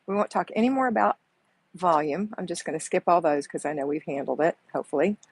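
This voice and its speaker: A soft-spoken woman with a drawl chats through a live stream, reading comments and coaching viewers in a motherly way. Her voice sits a little low and carries a husky, breathy edge. She is picked up by a small earbud microphone. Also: brisk, no echo. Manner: chatty, motherly, calls viewers honey and girls. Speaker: home organizing coach hosting a live chat. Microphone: earbuds with mic.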